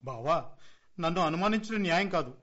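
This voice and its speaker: Man acting swooping, high, pleading petty thief. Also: animated, a little fast, fairly bright tone. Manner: pleading, plaintive, theatrical. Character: whining, sly brother-in-law, a petty thief protesting innocence. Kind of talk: Telugu comedy radio play acting. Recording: dull lo-fi radio play recording.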